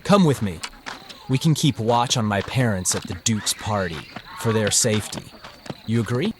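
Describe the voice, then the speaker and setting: An actor playing a lively, teasing young man in an audio story. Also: fairly low pitch, normal speed, background noise, lightly acted. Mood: eager, chatty. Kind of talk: acted dialogue in an audio story.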